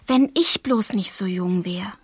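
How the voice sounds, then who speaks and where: Breathy, yearning young girl. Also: medium pitch, measured pace, no room sound, studio quality. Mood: hurt, confessing. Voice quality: breathy, whispery. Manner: intense, intimate, yearning. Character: passionate, impetuous thirteen-year-old girl in love, bold yet fragile. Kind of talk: poetic German radio play acting.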